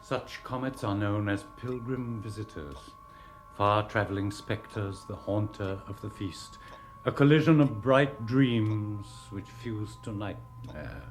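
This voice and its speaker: A man's voice: lowish voice, slow pace, rough recording. A man playing a thoughtful alien spaceship crewman, telling things solemnly and poetically in a 1960s radio drama. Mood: solemn, reflective. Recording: vintage radio drama, faint music.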